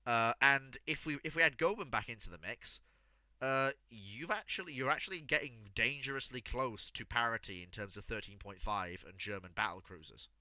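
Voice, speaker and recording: A man's voice: mid-range voice, normal speed, pushed and bright, no echo, low-quality recording. A man hosting an unscripted history debate, insistent and often repeating his words as he cuts in. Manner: insistent, repeats words and stammers when cutting in. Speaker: host of a live history discussion show. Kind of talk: unscripted animated group debate.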